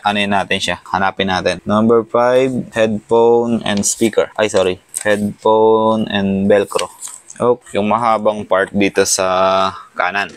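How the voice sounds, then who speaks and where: Low, even-toned Filipino man. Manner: thinking aloud while working with his hands. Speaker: motorcycle gear vlogger. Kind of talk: casual hands-on installation walkthrough.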